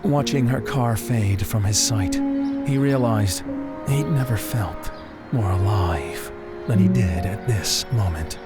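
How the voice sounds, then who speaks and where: A man narrating a scripted story as an audiobook narrator, deliberate and dramatic in delivery. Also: fairly low pitch, over loud music.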